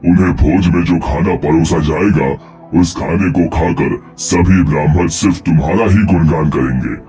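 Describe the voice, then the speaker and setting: A man's voice: sits low, moderate pace, mellow, faint music behind, lightly acted. A man playing a grave, sly false ascetic in a cartoon moral story, speaking formally and solemnly. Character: royal prince in disguise as a holy ascetic, grave, sly.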